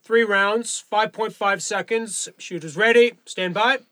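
A male firearms instructor in an audio drama. His voice is high for a man and recorded very dry. He gives clipped orders with firm, genial authority. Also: a little slow, bright, forceful tone, dry recording. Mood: commanding. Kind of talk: naturalistic audio drama acting.

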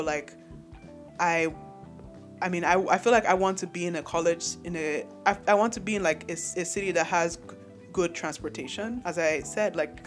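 Candid rambling young man, slightly high. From Nigeria. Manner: rambling and candid, restarting sentences, laughing often. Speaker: podcast host. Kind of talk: solo podcast monologue.

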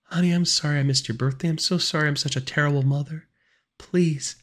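A man's voice: on the high side, brisk, breathy edge, pitched above the performer's own voice. A man voicing a desperate, coaxing mother in a horror story, pleading and wheedling. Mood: tearful pleading.

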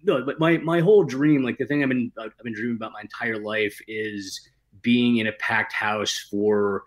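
A man's voice: medium pitch, fairly even intonation, normal speed. A man talking casually as a guest screenwriter, slangy and given to self-deprecating humour. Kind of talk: casual chat.

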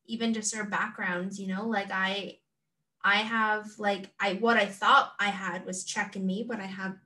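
Halting woman co-host, set back from the mic. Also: medium pitch, flat delivery. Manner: halting, gentle, many fillers and restarts. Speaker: podcast co-host. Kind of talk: casual conversation. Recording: distant from the mic.

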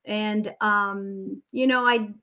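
A woman's voice: medium pitch, steady tone, takes it slowly, bright, forceful tone. A woman from Nicaragua, a native Spanish speaker, talking as a mortgage consultant guest in a casual, friendly way with frequent ums. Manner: friendly, laughs easily, filler-heavy. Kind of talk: casual podcast interview.

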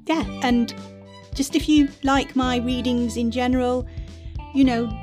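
A woman's voice: sits high, fairly even intonation, a little slow, a little mellow, faint music behind. A woman podcast host who reads and speaks in an articulate, theatrical, emphatic manner. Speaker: podcast host reading aloud. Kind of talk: dramatic reading of an essay, then informal podcast chat.

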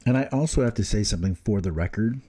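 A man's voice: lowish voice, animated, quick pace, mellow, husky. An older man co-hosting a casual podcast, teasing his partner and joking around. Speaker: podcast co-host. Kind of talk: casual chat.

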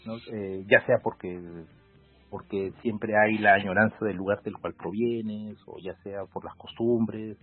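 Peruvian guy in his 40s, bit low, husky, slow thoughtful talk over a tinny call line. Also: fairly even intonation, soft background music. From Peru. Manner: measured, reflective, many fillers. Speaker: law professor guest. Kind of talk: casual interview. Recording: phone-like call audio.

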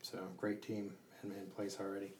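A man answering interview questions as a construction team leader, talking conversationally and haltingly as he thinks aloud. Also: fairly low pitch, monotone. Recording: roomy echo, distant mic, faint noise.

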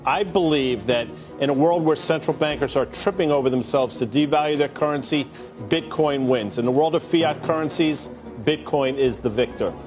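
A man's voice: fairly high pitch, normal speed. A man giving confident, emphatic commentary in a played clip. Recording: clip with music behind.

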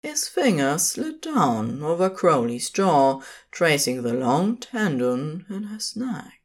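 A woman's voice: deep voice, very animated, slow. A woman reading scripted fiction as the narrator of an audio story, in a dramatic storytelling style.

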